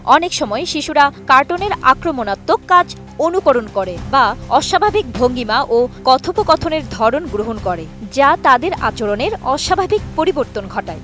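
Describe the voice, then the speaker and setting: A woman's voice: sits high, big pitch swings, normal speed, fairly bright tone, faint music behind. A woman with a Bangladeshi accent narrating a scripted news report as a reporter.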